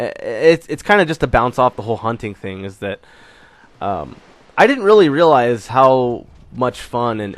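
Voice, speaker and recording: A young man giving a casual channel update, rambling with many fillers and restarts while still getting over a cold. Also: mid-range voice. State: recovering from a cold. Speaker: gaming channel host.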